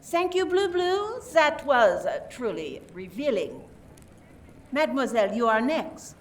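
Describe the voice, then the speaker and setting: A woman playing a suspicious, politely condescending French police inspector in an amateur radio play, deliberate and theatrical. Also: high voice, sing-song. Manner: deliberate, theatrical, repeats words for emphasis. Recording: distant mic, faint noise, room ambience.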